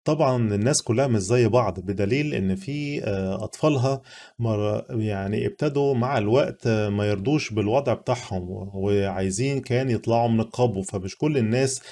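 Egyptian guy doing a chatty film review, quick talker, warm low-key tone. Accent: Egyptian Arabic. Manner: chatty and earnest, talks straight to viewers. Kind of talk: casual spoken film review.

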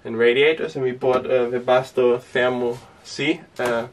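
A man's voice: mid-range voice, moderate pace, far from the mic. Rambling young man on a boat. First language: Danish. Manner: relaxed and rambling, with frequent fillers and small laughs. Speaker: sailing vlogger. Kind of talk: casual talk to camera. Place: inside a small sailboat cabin and cockpit tent.

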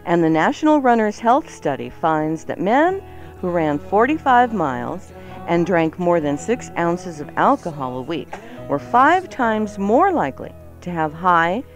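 Radio co-host, very animated intonation, playful and chatty, music under the voice. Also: mid-range voice, unhurried. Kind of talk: chatty, joking radio banter and item reading.

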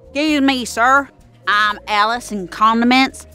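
Woman as an earnest, high-voiced waitress. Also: measured pace, sharp tone, clean tone, faint music behind. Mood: polite, earnest. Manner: polite, explanatory. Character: earnest, literal-minded female condiments waitress. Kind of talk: comedy sketch audio acting.

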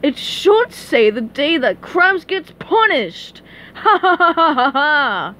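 A woman's voice: high voice, sing-song, takes it slowly, a bit bright, voice pushed up, full character voice. A woman voicing a smug, taunting, falsely polite tiny cartoon villain in a storybook reading, with background noise. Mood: gloating.

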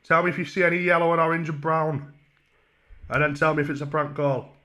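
A man in his twenties with a Northern English accent, talking casually and mock-earnestly as a deadpan, hesitant prank caller at a desk microphone. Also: a little high, fairly quick. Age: 20s. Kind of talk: casual prank phone call. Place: small room at home. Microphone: desk microphone on a stand.

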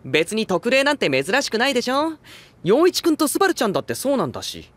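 A man's voice: extremely high pitch, wildly swooping pitch, fast talker, fairly bright tone, exaggerated voice. A man playing a breezy, cocky young idol and former student president in a Japanese voice drama, flippant and teasing. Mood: breezy, smug. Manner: flippant, self-assured, teasing.

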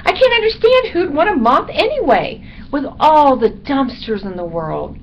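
A woman's voice: sits high, very animated, moderate pace, pitched above the performer's own voice. A woman voicing a small, chatty male bat from an animal storybook, with a theatrical, rambling, comically aggrieved delivery. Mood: gossipy, disgusted. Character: chatty, dramatic, self-pitying little male bat. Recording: dull recording with background noise.

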